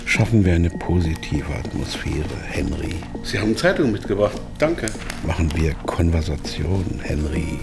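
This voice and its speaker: A kidnapped businessman in a radio drama. His voice is on the low side, agitated and muttering as he strains to stay reasonable. Music is clearly heard behind him. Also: expressive, normal speed, hardly any pauses. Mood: forced calm. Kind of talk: German radio drama acting.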